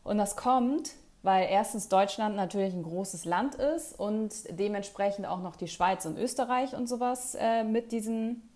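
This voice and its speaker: A woman chatting casually with a friend, talkative and quick to laugh, with lots of fillers. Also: medium pitch, a little mellow. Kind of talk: casual chat.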